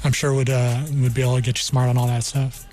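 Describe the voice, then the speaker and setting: A man's voice: mid-range voice, completely flat delivery, fast, darkish tone. A man from New Mexico speaking as an army career counselor, explaining things earnestly in an informal radio interview. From New Mexico, United States. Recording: music behind the voice. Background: music.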